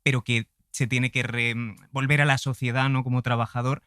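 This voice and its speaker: A man with an accent from Spain hosts a conversational interview. His voice sits at an average pitch, and he phrases things in a halting, roundabout way. The recording is dry and free of room sound. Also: flat delivery, sharp tone, smooth, dry recording. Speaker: interviewer, podcast host.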